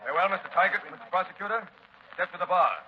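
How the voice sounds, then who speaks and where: An actor playing a stern, formal courtroom judge with measured authority in a 1940s radio drama. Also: male voice, high-pitched, lively intonation. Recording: dull, faintly noisy old radio recording.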